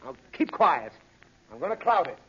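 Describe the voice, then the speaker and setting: An exasperated, fussy father in 1930s radio comedy. His voice sits high and leaps around in pitch. The old recording is muffled, with noise throughout. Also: very animated, moderate pace. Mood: harried. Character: exasperated, flustered father of a pesky child.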